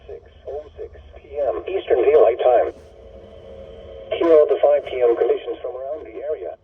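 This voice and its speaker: A man's voice: medium pitch, normal speed. A man reading a scripted weather bulletin, heard through a radio speaker. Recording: tinny, heavily noisy radio broadcast.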